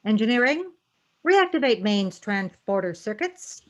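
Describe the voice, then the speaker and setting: Woman acting as female alien officer, mid-range pitch, formal, measured, deadpan, logical, precise diction, sci-fi radio play. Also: dull audio. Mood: stern, composed. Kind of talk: fan audio drama acting.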